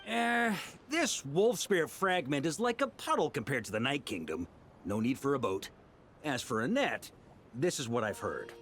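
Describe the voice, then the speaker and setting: An actor playing an easygoing veteran knight leader in a video game, musing and breezy with a theatrical touch. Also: male voice, mid-range voice, very animated, normal speed, noisy background, close to an everyday voice.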